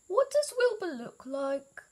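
A woman voicing an eager, childlike young elephant in a storybook, exclaiming excitedly. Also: very high-pitched, takes it slowly, voice pushed up, heavily acted. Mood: curious.

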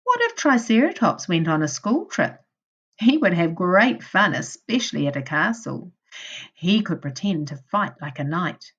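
Slow, expressive woman reading to children. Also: fairly low pitch, muffled recording. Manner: clear, deliberate, addressing young children. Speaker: primary school teacher. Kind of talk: children's storybook read-aloud.

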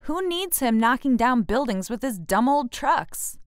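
A woman's voice: on the high side, lively intonation, measured pace, clean tone, no echo, studio quality. A woman voicing a jealous, bossy eight-year-old girl in a children's storybook. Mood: indignant.

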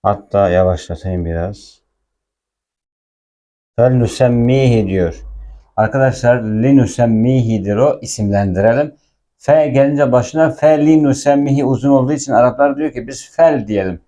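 A man's voice: medium pitch, normal speed, mellow. A man giving an informal language lesson, patiently repeating and explaining words as a teacher.

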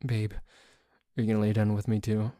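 A man playing a dorky, grumpy, possessive but secretly tender boyfriend in an ASMR roleplay, grumbling haltingly with sighs. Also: fairly low pitch, completely flat delivery, mellow, dry recording, clean studio sound, close to an everyday voice. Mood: softening, affectionate.

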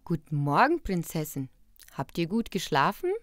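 A woman's voice: low-pitched, very animated, normal speed, no room sound, soft background music. A woman voicing a gracious, composed queen and mother in a fairy tale cartoon, speaking formally and with measure.

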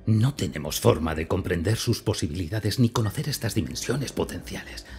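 A man acting a hushed, gravelly old professor. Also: lowish voice, animated, normal speed, soft background music. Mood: hushed, intense. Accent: Castilian Spanish. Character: brilliant, obsessed older male mathematics professor, cryptic and intense. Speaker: dubbing actor. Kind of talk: dubbed film drama.

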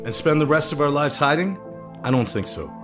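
A man's voice: on the high side, animated, fairly quick, music in the background, lightly acted. A man playing a determined, reckless hero in a radio drama, insistent in manner. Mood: defiant.

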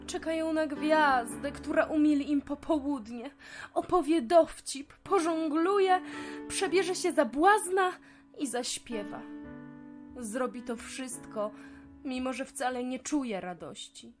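A woman's voice: high-pitched, faint music behind. A woman playing a sad, wistful female flamingo star in a children's audio fairy tale, speaking in an earnest, emotive way. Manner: earnest, emotive, slow confiding delivery.

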